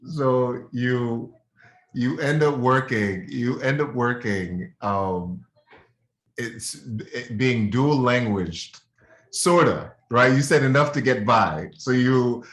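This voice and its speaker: A man's voice: medium pitch, takes it slowly, roomy sound. A man hosting an interview, enthusiastic, cutting in with eager follow-up questions. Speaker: host.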